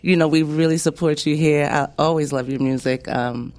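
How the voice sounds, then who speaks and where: A woman's voice: low voice, steady tone, moderate pace, darkish tone. A woman hosting a radio music show, chatty and enthusiastic as she talks with her guests. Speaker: radio host. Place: radio studio.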